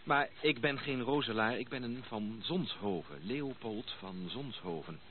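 Man as a formal gentleman, tinny old recording. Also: mid-range voice, animated, a little slow, crowd noise, lightly acted. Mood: courteous, reserved. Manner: formal, courteous, hesitant. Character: polite, slightly flustered gentleman visitor, lost on the way. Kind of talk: Dutch radio play acting. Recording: tinny band-limited old radio play recording, noisy.

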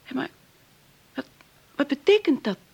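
An actress playing a devoted, inquisitive housekeeper in a Dutch radio play, with a husky voice and a flustered, deferential manner. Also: female voice, sits high, very animated, measured pace, a little mellow, exaggerated voice. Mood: anxious. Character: Hilde, devoted, easily flustered female housekeeper.

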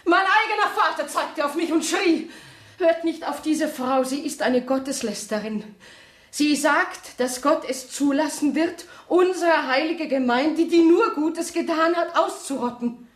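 An actress in a German radio play, playing a shaken woman courier who speaks in a halting, intense way. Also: high-pitched. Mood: anguished, crying out. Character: Hansi, shaken woman courier bearing witness.

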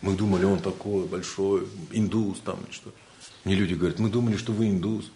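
A man's voice: low-pitched, steady tone, a little fast, slight background noise. Joking Siberian man giving a lecture. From Siberia, Russia. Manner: joking, colloquial, swings between loud bursts and quiet asides. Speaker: spiritual lecturer. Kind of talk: informal spiritual lecture.

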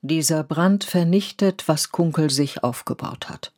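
A woman narrating a scripted literary radio play in measured, poetic storytelling. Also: deep voice, a little mellow. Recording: studio radio play with music underneath.

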